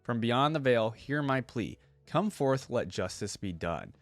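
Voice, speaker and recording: A man's voice: mid-range voice, big pitch swings, unhurried, no room sound, faint music behind. A man voicing a grieving, vengeful swordswoman in a story read-aloud, solemn and imploring. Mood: grave invocation. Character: grieving, vengeful swordswoman wife pleading to a spirit.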